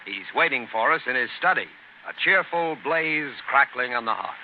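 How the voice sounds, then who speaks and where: A man's voice: medium pitch, lively intonation, moderate pace, narrow, tinny audio. A man with an American accent delivering scripted announcements in the formal style of a 1930s radio announcer. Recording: noisy, band-limited 1930s radio broadcast.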